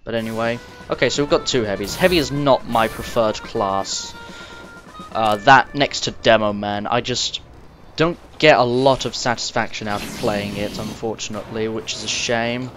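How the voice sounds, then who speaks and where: Croaky young man chatting over gameplay. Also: fairly low pitch, moderate pace, a bit bright. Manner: rambling, chatty, talks straight to the viewer. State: slightly croaky and sniffly. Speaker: gamer recording gameplay commentary. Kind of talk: casual gameplay commentary. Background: game music and sounds.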